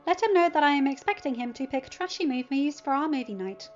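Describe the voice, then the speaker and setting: Maternal leader acted by a woman narrator, raised pitch, urgent and firm, lo-fi storybook recording. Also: lively intonation, fairly quick, soft background music. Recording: dull lo-fi recording.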